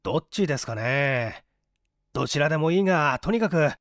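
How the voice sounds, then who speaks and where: 男性が演じる 腹ぺこで文無しの青年、抑揚豊か. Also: male voice, a little high, animated, a little fast, fairly bright tone, no echo, clean studio sound. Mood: weary, hungry. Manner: polite, persuasive, grumbling. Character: hungry, penniless, coaxing young man, weary but courteous. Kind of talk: dramatised audiobook reading.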